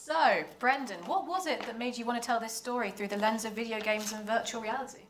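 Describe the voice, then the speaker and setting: A woman's voice: on the high side, a little fast, a bit bright. A woman casually moderating a live festival panel. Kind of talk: casual hosting. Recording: distant roomy mic, faint noise.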